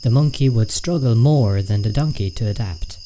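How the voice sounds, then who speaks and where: An actor playing a sober, reasonable imp scout in a radio play, speaking in an understated, formal, matter-of-fact way. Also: male voice, medium pitch, moderate pace, warm tone, a little hiss or hum, lightly acted. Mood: calm, analytical. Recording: faint music and insect chirps behind.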